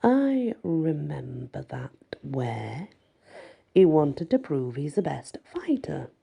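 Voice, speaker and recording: A woman voicing a laconic, protective teenage werewolf boyfriend with a deep rumbling voice and slow, understated replies. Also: big pitch swings, creaky, warm tone, breathy edge, exaggerated voice. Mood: amused, conceding.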